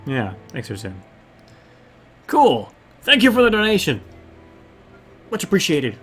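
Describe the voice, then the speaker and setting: A man from the United States, streaming a game and chatting casually, relaxed and often laughing. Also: a little high, a little slow, breathy edge, soft background music. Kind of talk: casual gameplay stream chat.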